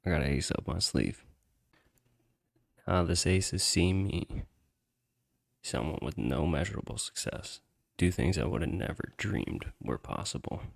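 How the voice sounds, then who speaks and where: Man, low-pitched, creaky fry, casual storyteller, slangy podcast host, loose conversational delivery. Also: lively intonation, measured pace, long pauses. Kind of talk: casual first-person storytelling.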